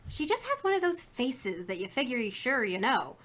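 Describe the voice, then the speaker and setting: Garrulous lady shopkeeper, folksy, emphatic, fairly high sharp voice, vintage radio drama. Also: big pitch swings, moderate pace. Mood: reminiscing. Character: chatty, indignant, folksy female shopkeeper. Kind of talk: 1950s radio drama acting.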